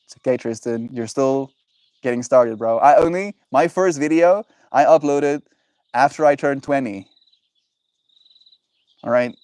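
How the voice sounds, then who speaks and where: A man's voice: mid-range voice, a little slow, long pauses, a little mellow, clear, resonant tone, dry recording. A young man chatting casually on his livestream, laid-back and encouraging, answering viewers and calling them bro.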